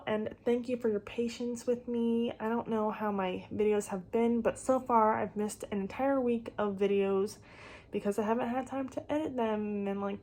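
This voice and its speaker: Rambling young woman vlogger, casual and slightly raspy. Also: fairly high pitch, darkish tone. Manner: rambling, filler-heavy with frequent "like". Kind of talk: casual impromptu chat to camera. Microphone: phone camera.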